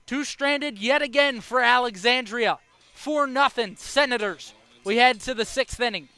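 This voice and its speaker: Young man calling baseball, high bright voice. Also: unhurried, clear, resonant tone, no echo. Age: young adult. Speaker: baseball play-by-play announcer. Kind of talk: live sports play-by-play commentary.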